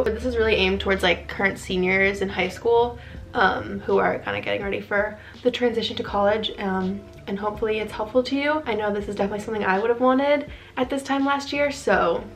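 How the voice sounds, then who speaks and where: A woman's voice: medium pitch, brisk. Chatty young woman vlogging. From New Jersey. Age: young adult. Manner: chatty, frequent "um" and "like". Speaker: vlogger, college freshman. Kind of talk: casual chat to camera.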